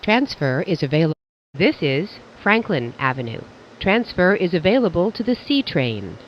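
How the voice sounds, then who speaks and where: Train announcer, formal and measured, pitch moves a lot, muffled with some hiss. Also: sing-song. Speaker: transit announcer. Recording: dull, noisy train announcement recording.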